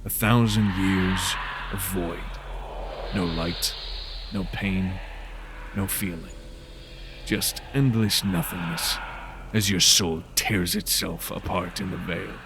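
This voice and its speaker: A hard, vengeful supernatural detective, played by a male narrator, speaks slowly in a gravelly voice full of contempt. It is menacing horror audio drama, with faint noise behind the voice. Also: low-pitched, steady tone, exaggerated voice. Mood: cool menace.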